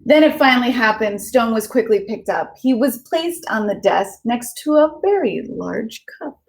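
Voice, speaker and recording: A woman children's author chatting casually and reading aloud to children, with an excitable manner. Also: a little high, normal speed, gravelly. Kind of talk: casual chat and animated read-aloud for children.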